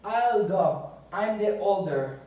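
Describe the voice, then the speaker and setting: A man acting an earnest sea creature in an amateur puppet show, with halting, non-native English phrasing. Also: sits high, expressive, dark tone. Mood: earnest, determined. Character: earnest older sea creature, brave spokesman for the sea. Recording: echoey room, distant microphone.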